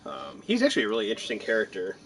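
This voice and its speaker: A man's voice: lowish voice, huge pitch swings, racing. A man giving casual gameplay commentary, rambling and joking as he thinks aloud. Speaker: gamer commenting on a playthrough.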